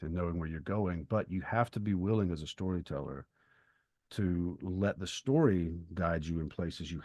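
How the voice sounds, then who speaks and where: A man with a low-pitched voice talks as a podcast co-host about books. He explains things in a measured, thoughtful manner, and the sound is dry, with very little room in it. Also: darkish tone, no room sound. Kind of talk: conversational literary discussion.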